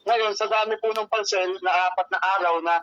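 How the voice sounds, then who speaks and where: High-pitched Filipino man on a muffled phone. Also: fairly even intonation, fairly quick, fairly bright tone, no room sound. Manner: deferential, repetitive, explanatory, using frequent polite address. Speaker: courier hub customer service caller. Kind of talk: phone customer-service explanation. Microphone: telephone. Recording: muffled lo-fi phone call, dry.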